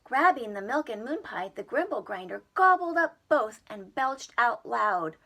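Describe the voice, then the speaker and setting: A woman with a high, slightly husky voice reads a children's story. She is very animated and dramatic, taking her time over each phrase. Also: a little slow. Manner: dramatic, with whispered asides to young listeners. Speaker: preschool storyteller. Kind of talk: expressive children's storybook read-aloud.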